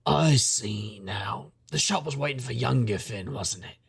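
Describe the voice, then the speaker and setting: A man's voice: medium pitch, unhurried, vocal fry, husky, heavily acted. A man playing a wry, brooding middle-aged magician detective, narrating an audio drama in first person.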